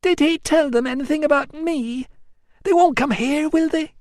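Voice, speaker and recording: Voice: high voice, normal speed, darkish tone, no echo, clean studio sound, pitched above the performer's own voice, lightly acted. A narrator voicing a self-pitying young Roman woman who pleads and complains in tearful bursts. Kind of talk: audiobook acting.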